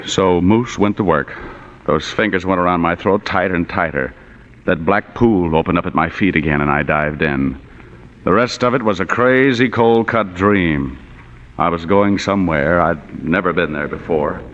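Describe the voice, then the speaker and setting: A man's voice: sits low, normal speed, a bit bright. An actor playing a tough, wisecracking male private detective in 1940s radio noir, with sardonic, clipped delivery. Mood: weary, hardboiled. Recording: muffled vintage radio recording with music.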